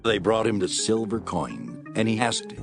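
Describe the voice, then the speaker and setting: A man's voice: on the low side, big pitch swings, unhurried, creaky, over music. A man reading scripted narration for an audio Bible drama as its storyteller.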